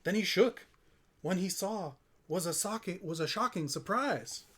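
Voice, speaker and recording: Man, storybook narration, slightly high pitch, highly animated, theatrical, playful streamer read-aloud. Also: measured pace, slightly rough. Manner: theatrical, playful, with offhand asides. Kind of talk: dramatic children's storybook narration.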